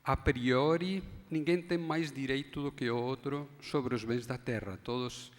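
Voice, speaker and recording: A Spanish-born man with a Spanish accent, an academic speaker giving a measured, halting forum talk. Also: medium pitch. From Spain. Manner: measured, halting, restarts phrases. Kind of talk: formal lecture talk. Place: conference hall. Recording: long hall reverb.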